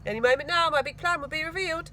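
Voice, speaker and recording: A woman's voice: high-pitched, very fast, pushed and bright, a little hiss or hum. Self-important schoolboy, read by a woman. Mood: proudly scheming. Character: scheming, easily irritated young boy, proud of his plans.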